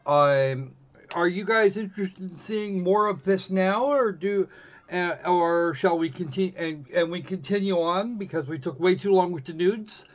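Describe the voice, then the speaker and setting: A man with a high-pitched voice chats casually as a livestream host. His delivery is wry and snarky, with halting phrasing. The sound is dull and lo-fi. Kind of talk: casual game stream chat.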